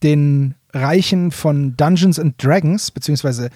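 A man's voice: a little high, a little slow, dark tone, no room sound, studio quality. A man co-hosting a podcast in a casual, chatty way, sprinkling English phrases into his talk. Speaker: podcast co-host.

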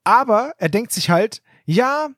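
A man's voice: high-pitched, lively intonation, unhurried, a bit bright, dry recording, clean studio sound. A man co-hosting a podcast, casually discussing an audio drama in an emphatic, opinionated, colloquial way. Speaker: podcast co-host.

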